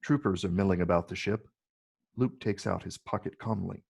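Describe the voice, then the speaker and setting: A man reading stage directions as scripted narration in a measured, descriptive way. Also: low-pitched, gravelly, darkish tone, husky. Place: virtual read, recorded remotely.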